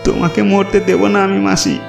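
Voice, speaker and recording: Male actor as humble villager, kind-hearted, pleading, raised pitch, echoey, music behind, cartoon. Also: flat delivery, moderate pace, gravelly. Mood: pleading, earnest. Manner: earnest, pleading. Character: Bhola, remorseful, kind-hearted poor village man. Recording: roomy echo, music behind.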